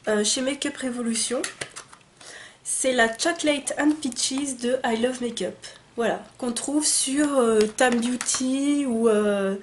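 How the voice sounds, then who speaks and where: A young woman with a stuffy, blocked nose, chatting casually and excitedly as she unboxes beauty products. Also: fairly high pitch, slight background noise. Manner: chatty and enthusiastic, many fillers and restarts. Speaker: beauty vlogger. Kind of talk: casual unboxing chat to camera.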